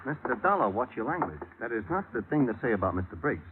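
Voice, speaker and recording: A man's voice: medium pitch, sing-song, fast talker, close to an everyday voice. An actor playing a wisecracking, grumbling male sidekick with an American accent in 1940s radio style. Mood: dry reproach. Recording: tinny, noisy vintage radio recording.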